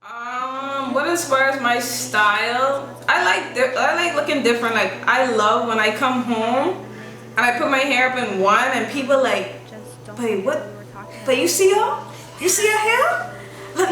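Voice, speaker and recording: A young woman, a musician answering interview questions in a soft, relaxed, rambling way. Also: sits high, measured pace, slight background noise. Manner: soft-spoken, relaxed, loose rambling phrasing. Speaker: musician guest. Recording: echoey room from a distance, music behind.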